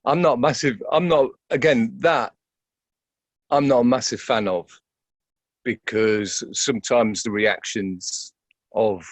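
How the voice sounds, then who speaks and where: A man's voice: mid-range voice, slow, pauses often, dry recording. A man giving conversational interview answers as an actor, hesitant and often repeating words as he thinks aloud.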